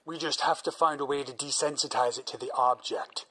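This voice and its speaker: A man's voice: medium pitch, fairly even intonation, fairly quick, pushed and bright, slightly rough, low-quality recording. An actor playing a single-minded male scientist who explains his plans in an earnest, persuasive way. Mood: eager. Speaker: researching psychologist. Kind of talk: audio drama acting.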